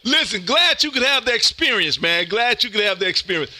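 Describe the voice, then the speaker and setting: A loud, folksy man from Mississippi hosting amateur radio contacts with an exuberant, chatty on-air delivery. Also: high-pitched, lively intonation, a little fast, no room sound. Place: indoor home radio room.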